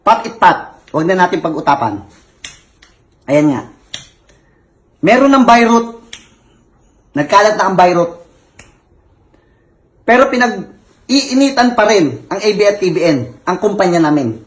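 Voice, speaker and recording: Lisping angry man, high voice. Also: big pitch swings, measured pace, pauses often. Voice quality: heavy lisp, s turned to t. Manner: angry, complaining, repetitive. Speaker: self-styled actor on social media. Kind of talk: comic rant.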